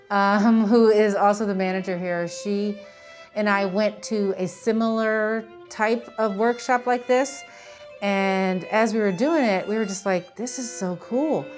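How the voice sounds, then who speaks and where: American woman, casual interview talk, medium pitch, string music behind. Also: steady tone, slow pace. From Philadelphia, United States. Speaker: small business owner.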